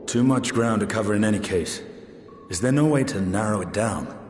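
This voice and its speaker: A man plays a serious, pragmatic fantasy game warrior with a lowish voice. The sound is echoey with a long hall tail and faint music. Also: moderate pace, a little mellow, lightly acted. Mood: grave. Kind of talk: fantasy video game dialogue.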